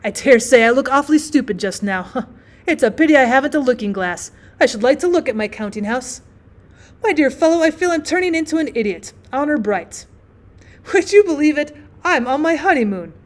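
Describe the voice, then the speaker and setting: Woman acting a giddy newlywed gentleman, tipsy, effusive, exclamatory, audiobook character, high-pitched. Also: expressive, fairly quick. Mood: giddy, boastful. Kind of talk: acted audiobook storytelling.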